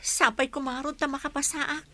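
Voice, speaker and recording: Woman acting young filipina grad in an audio drama, earnest, crisp bright highish voice. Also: flat delivery, moderate pace. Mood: nervous, hopeful. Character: young female graduate and aspiring teacher, earnest.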